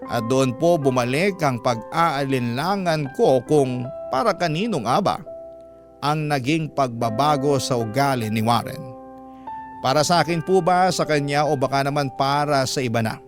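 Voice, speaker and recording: A man working as a radio storyteller, reading a first-person confession letter in an earnest, measured and polite way. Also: mid-range voice, over music. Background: musical score.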